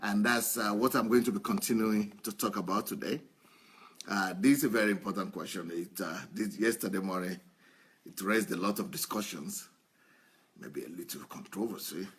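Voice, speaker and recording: A man's voice: fairly low pitch, normal speed, fairly bright tone, breathy edge. A man, a pastor, teasing his congregation in a playful church talk before his sermon. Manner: playful, jokes and laughs often.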